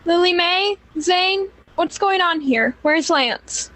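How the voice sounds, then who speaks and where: A woman playing a hurried, pleading working mother in an amateur radio play. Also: extremely high pitch, heavy background noise.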